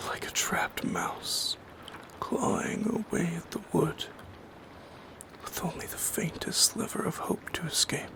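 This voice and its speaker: A man voicing a lonely, yearning shadow creature in a soft-spoken ASMR roleplay, whispering in a solemn, halting, poetic way with a breathy, creaky edge. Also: on the high side.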